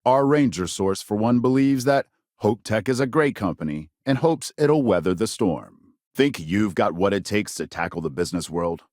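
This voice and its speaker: A man's voice: fairly low pitch, expressive, moderate pace, a little mellow, dry recording, studio quality, close to an everyday voice. A man playing a polished, dramatic news broadcaster in a sci-fi game, reading scripted reports in a punchy, theatrical newsreader delivery. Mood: upbeat, showy.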